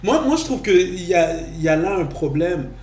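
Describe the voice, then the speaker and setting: A man from Quebec with a Quebec French accent, co-hosting a podcast in casual talk, halting and restarting his sentences often. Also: sits high, very animated, darkish tone. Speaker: podcast co-host. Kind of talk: casual discussion. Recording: echoey room, faint noise.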